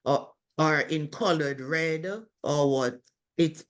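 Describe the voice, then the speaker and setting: Slow, deliberate woman with a strong voice. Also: low-pitched, fairly even intonation. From from abroad. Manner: slow, deliberate, with many pauses and fillers. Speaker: webinar participant. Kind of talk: reflective group discussion. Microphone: video call.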